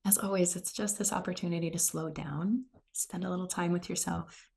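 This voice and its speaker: A woman leading a guided meditation in a gentle, unhurried, soothing manner. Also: lowish voice, fairly even intonation, vocal fry. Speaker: meditation teacher.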